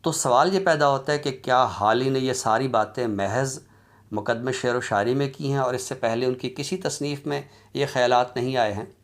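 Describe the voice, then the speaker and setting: A man giving an academic lecture in a deliberate, explanatory way, with halting pauses. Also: mid-range voice. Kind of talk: academic lecture on literary criticism.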